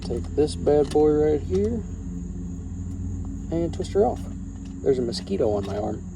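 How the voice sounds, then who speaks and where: A man's voice: a little high, sing-song, measured pace. A man chatting casually through a DIY truck job outdoors, talking in a rambling, folksy way and restarting his phrases as he works, recorded on a handheld camera. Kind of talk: casual how-to vlog. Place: outdoors beside a truck, crickets around. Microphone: handheld camera, no tripod. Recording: noisy outdoor recording with crickets.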